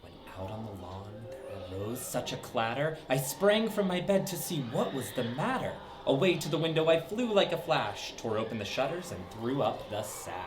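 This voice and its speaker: Lively man reading a story to kids. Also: medium pitch, moderate pace, few pauses, music in the background. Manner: lively, sing-song, with dramatic swoops. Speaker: storybook reader for children. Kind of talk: reading a rhyming story aloud.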